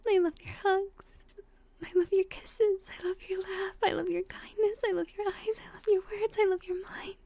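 A woman playing a tender, emotional young girlfriend in an intimate roleplay audio drama, speaking softly and breathily, often in whispers, with affection. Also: very high voice, little pitch movement, moderate pace, dry recording. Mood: adoring.

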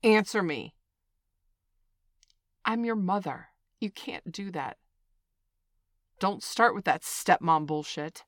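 A woman's voice: mid-range voice, a bit bright, dry recording, clean studio sound, heavily acted. A woman acting a flustered parent in a scripted audio roleplay, who swings between scolding and soothing. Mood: shocked. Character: flustered protective parent, middle-aged.

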